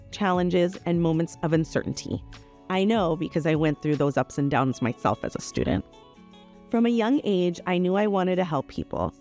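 Woman counselor, lowish voice, earnest and reassuring, music playing softly. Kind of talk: scripted introduction.

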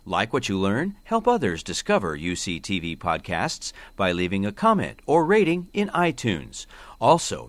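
A lively announcer reading a promo. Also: male voice, mid-range voice, dry recording.

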